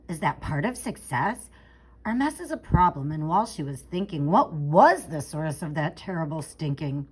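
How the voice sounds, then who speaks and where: A woman reading a rhyming children's picture book aloud in an expressive, theatrical way, stretching out key words for emphasis. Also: medium pitch, breathy edge, rough recording. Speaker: storybook reader.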